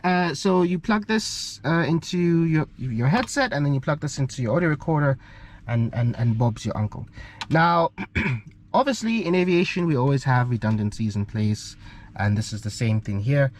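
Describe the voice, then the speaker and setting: A man's voice: fairly high pitch, expressive, normal speed. A man with a South African accent explains gear in an informal tutorial, talking in a hesitant, explanatory way and often checking in as he goes. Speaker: aviation channel host.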